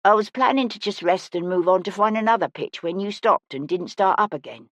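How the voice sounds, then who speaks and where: A woman's voice: mid-range voice, fairly quick, a bit bright, no room sound, close to an everyday voice. A woman voicing a blind woman in her sixties, a folksy, feisty but kindly street musician in an audiobook. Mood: tender. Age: 60s. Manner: folksy, plain-spoken, feisty.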